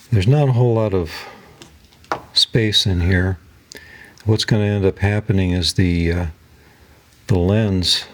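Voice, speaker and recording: A man narrating a hands-on tutorial as host, talking haltingly as he thinks aloud while he works. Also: deep voice, slightly rough. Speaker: host of a DIY electronics repair channel.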